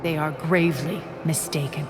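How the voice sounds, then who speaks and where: A woman's voice: low-pitched, fairly even intonation, normal speed, background noise, lightly acted. A woman playing a ruthless, imperious alien crime queen in a video game, commanding, haughty and contemptuous. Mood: rousing, defiant. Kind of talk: cinematic video game acting. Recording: game music behind the voice.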